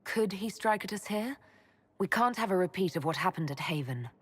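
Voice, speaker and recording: A woman playing a burdened, determined heroic leader in a video game, direct and questioning, with a husky voice. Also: medium pitch, animated, a little fast. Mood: concerned. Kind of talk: video game cutscene acting.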